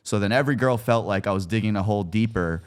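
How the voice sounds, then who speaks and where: A man's voice: on the low side, fast. A man in his twenties talking candidly and informally as a guest about his personal life, with slang and some swearing. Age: 20s. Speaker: podcast guest, content creator.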